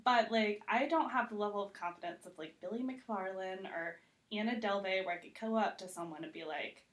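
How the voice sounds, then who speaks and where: An American woman speaking as a podcast guest in a casual chat, informal and expressive, often saying "like". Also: medium pitch, a little fast. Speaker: guest, TV critic.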